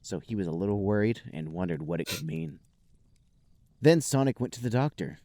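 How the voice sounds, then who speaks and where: A man's voice: fairly low pitch, animated, brisk, dark tone, no room sound. A man hosting a podcast, narrating amateur fan fiction for comedy in a mock-serious way. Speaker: podcast host.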